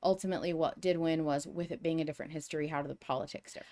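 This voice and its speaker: A woman's voice: low voice, fairly even intonation, rapid-fire, a little mellow. A woman hosting a podcast in a casual fan discussion, explaining things carefully and with emphasis. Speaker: podcast host.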